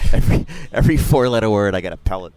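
A man's voice: fairly low pitch, huge pitch swings, brisk, a little mellow. A middle-aged man co-hosting a podcast, chatting casually and joking, often restarting his sentences. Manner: rambling, self-interrupting, joking. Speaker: podcast co-host, sports journalist. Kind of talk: casual chat.

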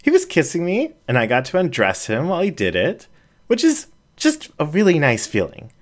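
A reader voicing a shy young female raccoon who talks in hesitant, emotional bursts. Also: sits low.

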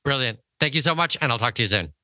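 A man's voice: mid-range voice, expressive, fast, bright, forceful tone, dry recording. A man hosting a conversational interview, talking in an affirming, enthusiastic manner. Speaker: podcast host. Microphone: close mic on an internet call.

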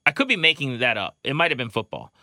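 A man's voice: mid-range voice, big pitch swings, fast, pushed and bright, no echo, studio quality. A man hosting a sports podcast, talking in casual banter. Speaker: podcast host.

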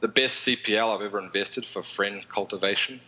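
A man's voice: lowish voice, brisk, bright, forceful tone, poor audio. A man with a New Zealand accent, a podcast guest talking in a rambling, easygoing way. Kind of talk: casual interview chat.

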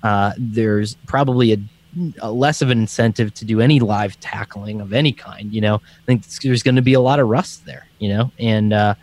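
A low-pitched young man with a Scranton, Pennsylvania accent chats casually as a podcast co-host. He rambles and hedges, leaning on plenty of fillers as he works through his point. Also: steady tone, moderate pace, a little mellow. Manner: rambling, hedging with many fillers. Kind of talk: casual sports chat.

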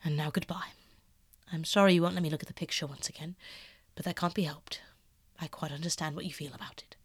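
A woman voicing a sad, devoted male painter who speaks in a hushed near-whisper. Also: low voice, fairly even intonation, quick pace, slightly rough, no echo, studio quality. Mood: regretful.

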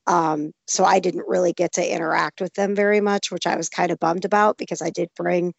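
A woman with a hoarse, gravelly voice, still recovering from losing it, chatting casually with lots of laughs and restarts. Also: lowish voice, steady tone, a little fast, dry recording, lo-fi sound. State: recovering from lost voice. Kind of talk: casual chat.

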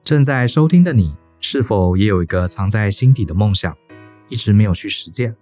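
A man's voice: lowish voice, lively intonation, brisk, dark tone. A man giving scripted, reflective narration addressed to the listener. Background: music.

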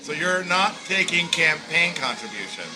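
A man's voice: a little high, sing-song, moderate pace. Playful male talk host. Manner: playful, steering. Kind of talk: comedic talk show interviewing. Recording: music in background.

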